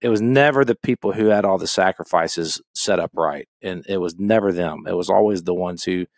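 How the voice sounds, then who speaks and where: A middle-aged man with a fairly low voice discusses theology casually as a podcast co-host. He is earnest and circles back on phrases to stress them. The recording is close and dry. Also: brisk, dry recording. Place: small home office with bookshelves. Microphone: close studio microphone, headset monitoring.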